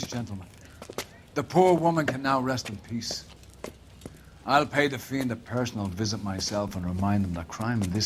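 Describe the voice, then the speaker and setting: A man's voice: lowish voice, a little hiss or hum, close to an everyday voice. A man playing a self-important, stern police captain in a video game, speaking formally and pompously.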